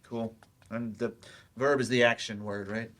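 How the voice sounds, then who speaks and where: Modest working guy taking classes, played by a man: casual, unpolished, medium pitch, low-key stage-play delivery. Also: fairly even intonation, a little slow, a bit bright, lightly acted. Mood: curious, unsure. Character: modest, plain-spoken working male adult student.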